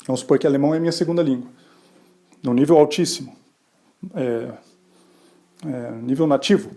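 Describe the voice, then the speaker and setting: A man from Brazil hosting a podcast, explaining ideas in a relaxed, conversational way, with rhetorical questions and brief asides where he acts out other people. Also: medium pitch, normal speed. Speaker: podcast host. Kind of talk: explanatory solo podcast talk.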